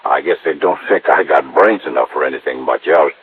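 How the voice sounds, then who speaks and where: An actor playing a slow-witted, eager-to-please handyman with a folksy, rambling, deferential manner in an old radio drama. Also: very deep voice. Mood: earnest, boastful. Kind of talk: old-time radio acting. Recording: muffled, noisy vintage radio recording.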